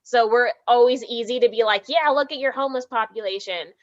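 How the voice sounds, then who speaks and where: A Canadian woman, a filmmaker guest on a podcast call, talking in an animated way, laughing often and saying "like" a lot while hot and sweating. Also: fairly high pitch, a little fast, dull audio. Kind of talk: casual remote interview chat.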